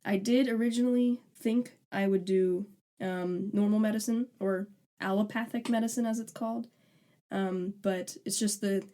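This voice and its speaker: A woman's voice: mid-range voice, steady tone, moderate pace, warm tone. A woman in her twenties, a student co-hosting a podcast, chatting casually and joking with a laugh. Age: 20s. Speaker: podcast co-host, alternative medicine student. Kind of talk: casual chat.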